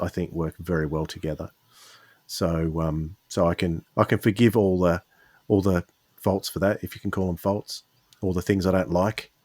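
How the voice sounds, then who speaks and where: A man with a fairly low voice hosting a podcast in a casual, conversational style. He rambles a little, pausing and rewording as he goes, and the sound is very dry and close. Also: a little mellow, no echo. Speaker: podcast host.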